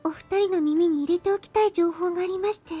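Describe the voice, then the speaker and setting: A woman's voice: extremely high pitch, very fast, faint music behind. A woman voicing a perky, polite and eager schoolgirl underclassman in an anime-style visual novel. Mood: earnest, informing. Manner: polite, bright, deferential.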